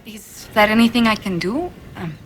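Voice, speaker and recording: An actress playing a polite, solicitous diplomat in a fantasy game, speaking formally and with composure. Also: female voice, medium pitch, expressive, normal speed, soft background music, lightly acted. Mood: concerned. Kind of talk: fantasy video game acting.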